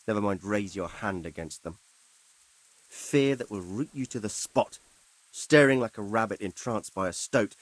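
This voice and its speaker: A man playing an authoritative, grave nobleman in a video game, speaking formally and articulately with a measured, commanding manner. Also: fairly low pitch, no echo, rough recording. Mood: grave, ominous. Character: authoritative nobleman lord, measured, grave, stern about justice. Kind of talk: video game cutscene acting.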